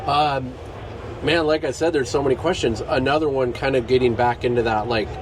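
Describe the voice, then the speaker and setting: A man's voice: medium pitch, fairly even intonation, brisk, noisy background. A man hosting a podcast, asking friendly, rambling questions full of "like"s. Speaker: podcast host. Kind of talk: casual interview chat. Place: outdoors at a trade show.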